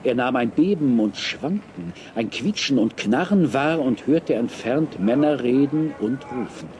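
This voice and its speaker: A male storyteller played by an actor narrates a children's adventure play. His pitch is average, and clear background noise sits behind him. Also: lively intonation, unhurried, a little mellow, lightly acted. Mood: measured suspense.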